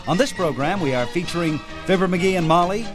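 Male host for a nostalgia radio program: announcer-style narration, expressive and a touch high, over music. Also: a little fast.

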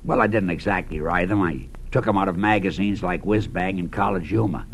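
A man's voice: low-pitched, fairly quick, slight background noise. A man recalling his early career in a dry, wry storytelling manner before introducing an old routine.

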